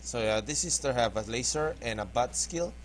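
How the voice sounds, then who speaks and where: A man from the Philippines with a Filipino accent, English not his first language, giving halting, hesitant commentary over a game tutorial. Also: medium pitch, animated, slight background noise. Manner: hesitant, halting, restarts words often. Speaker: gaming tutorial host. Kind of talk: casual gameplay commentary.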